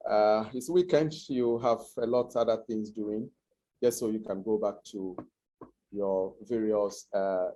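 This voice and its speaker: Middle-aged man, low voice, slightly hoarse, hesitant presenter. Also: steady tone, dark tone. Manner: hesitant, many filler pauses. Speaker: guest presenter. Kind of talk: online information session presentation.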